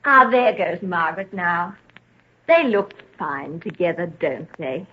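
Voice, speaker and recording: Gentle older mother, muffled old radio. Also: fairly low pitch, lively intonation, measured pace, husky. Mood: grateful, tender. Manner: genteel, sentimental. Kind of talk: vintage radio drama acting. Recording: dull old radio recording.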